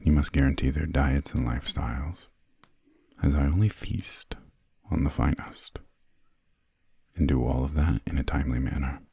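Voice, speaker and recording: Haughty, formal vampire lord played by a man for ASMR roleplay: extremely low, breathy and husky, measured and hushed. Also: steady tone, no room sound, clean studio sound, full character voice. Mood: stern, formal. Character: refined, imperious male vampire lord, formal and fussy. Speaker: employer briefing a new assistant.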